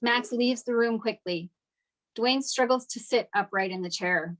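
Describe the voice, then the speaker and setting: A woman's voice: on the high side, measured pace, fairly bright tone, poor audio. Woman reading screenplay stage directions. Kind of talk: scripted narration for a table read.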